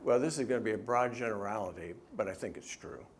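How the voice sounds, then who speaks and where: A man's voice: lowish voice, quick pace, a little hiss or hum. A middle-aged man, the author, gives an earnest talk at a church and answers questions, often stopping and restarting. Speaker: author and speaker.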